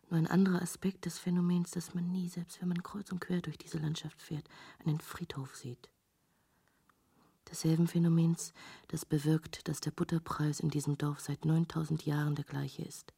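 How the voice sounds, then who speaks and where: A woman acting in a literary radio drama as a disillusioned, reflective traveller, speaking in a measured, dry way with a husky, breathy voice. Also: deep voice, warm tone, exaggerated voice. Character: disillusioned female visitor to hell, wistfully reflective narrator.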